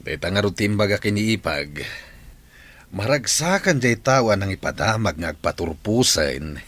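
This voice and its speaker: A man playing a chatty, plain-spoken village family man in an Ilocano radio drama. His voice is fairly low, with a rural Filipino accent. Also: very animated, normal speed, fairly bright tone. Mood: pleased. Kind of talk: radio comedy drama acting.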